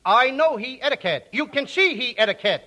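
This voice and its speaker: A man's voice: extremely high pitch, expressive, fairly quick, pushed and bright. A male comedian in a 1940s radio comedy, wisecracking in a brash, boyish way. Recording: noisy 1940s radio broadcast.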